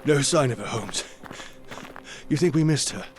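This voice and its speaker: A man playing a genial, slightly flustered gentleman, a detective's loyal companion, speaking politely and earnestly in an audio drama. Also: mid-range voice, expressive, normal speed, slight background noise, lightly acted. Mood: puzzled, curious.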